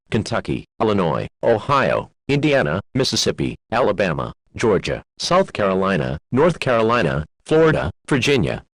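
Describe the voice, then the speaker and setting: A man reading a scripted emergency broadcast in a formal, measured, official manner. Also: on the low side, no room sound. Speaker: emergency alert announcer.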